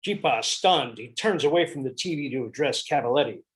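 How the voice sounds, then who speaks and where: Husky man reading stage directions. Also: mid-range voice, lively intonation, a little fast. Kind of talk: table read narration.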